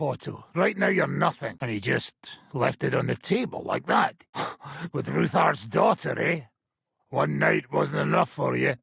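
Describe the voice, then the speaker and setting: A man voicing a gruff, sardonic middle-aged mercenary bandit in a video game, with a raspy, gravelly voice and a blunt, coarse, mocking manner. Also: on the high side, a bit bright, dry recording, clean studio sound, full character voice. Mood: irritated, harsh, then mocking, amused.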